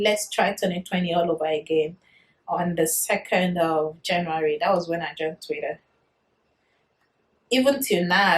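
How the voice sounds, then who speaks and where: A woman in her thirties with a Nigerian accent, chatting to camera in a casual vlog, animated and quick to laugh. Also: medium pitch, low-quality recording. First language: Yoruba. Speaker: vlogger.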